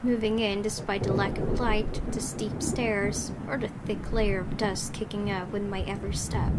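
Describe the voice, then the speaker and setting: A woman's voice: medium pitch, heavy background noise. A young woman streamer reading a horror visual novel aloud, breaking off for chatty asides. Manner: reads narration with chatty asides. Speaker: gaming streamer. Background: loud game music.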